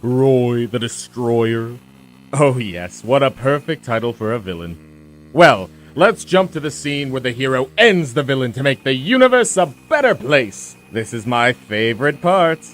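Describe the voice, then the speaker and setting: A man's voice: medium pitch, big pitch swings, a bit bright, slight background noise. An actor playing a grandiose, taunting male villain in an audio drama, drawing out his words with menace. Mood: gloating. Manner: theatrical, taunting, drawn-out delivery.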